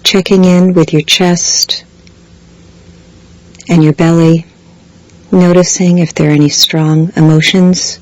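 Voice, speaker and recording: A woman guiding a body scan meditation in a slow, measured way, leaving long pauses between phrases. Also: fairly low pitch, little pitch movement, darkish tone, no room sound, rough recording. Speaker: meditation guide. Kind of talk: guided body scan meditation, scripted soothing instruction.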